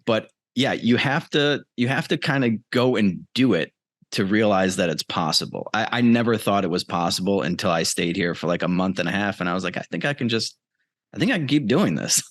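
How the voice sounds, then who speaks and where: Low-voiced American man, casual podcast guest. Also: animated, fairly quick, fairly bright tone, no room sound, clean studio sound. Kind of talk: casual conversational interview. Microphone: close mic on a remote video call.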